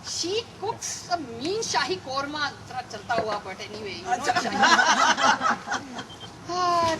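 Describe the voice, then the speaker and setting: High-voiced woman reading a comic play. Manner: theatrical, gushing, sing-song delivery. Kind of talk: live comedic dramatic reading. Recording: noisy live room recording.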